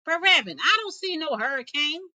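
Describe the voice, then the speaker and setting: A woman's voice: high-pitched, lively intonation, a little fast, pushed and bright, no echo, voice pushed up, exaggerated voice. A woman voicing a big, gullible, fretful male lion from a folk tale, plaintive and folksy. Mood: impatient, plaintive.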